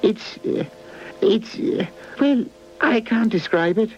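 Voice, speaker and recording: A man voicing a sweet, excitable young female toy puppet in a children's puppet story, easily upset. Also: high-pitched, slow, a little hiss or hum, pitched above the performer's own voice. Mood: amazed. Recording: muffled old 1980s VHS tape, loud music.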